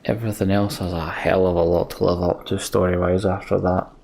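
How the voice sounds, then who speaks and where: A man hosting a gaming let's play, talking casually to his viewers in a halting, reflective, heartfelt way. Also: low-pitched, little pitch movement, vocal fry, a little mellow. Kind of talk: casual sign-off chat to viewers.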